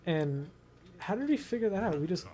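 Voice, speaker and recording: Higher-voiced young American man, rambling casually. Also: big pitch swings, brisk, warm tone, husky. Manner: rambling, repeats himself, slangy. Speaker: video host. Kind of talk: casual storytelling chat with a friend.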